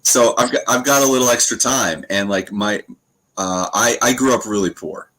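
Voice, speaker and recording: A man in his late thirties with a fairly low voice, speaking in casual conversation. He sounds reflective and halting, pausing often as he thinks his way through each point. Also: fairly bright tone, low-quality recording. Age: 30s.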